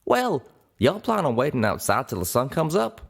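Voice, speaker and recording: A man plays an easygoing pegasus stallion for an audiobook. He is folksy and drawling, with very lively pitch. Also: moderate pace, vocal fry, full character voice. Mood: wry.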